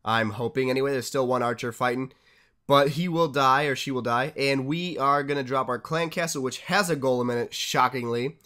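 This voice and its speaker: A man's voice: medium pitch, fairly even intonation, normal speed, pushed and bright, clean tone. Congested young man commentating a game. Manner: casual, chatty tips with upbeat asides. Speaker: gaming channel host. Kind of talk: game commentary over replays.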